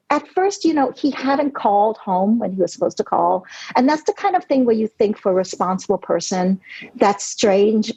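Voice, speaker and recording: A woman's voice: medium pitch, a little mellow, husky, no room sound. A woman in her forties, born in Hong Kong and raised in New York City, a novelist telling her story in an earnest, reflective podcast interview, often saying "you know". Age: 40s. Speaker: novelist guest. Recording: dry, dull lo-fi audio.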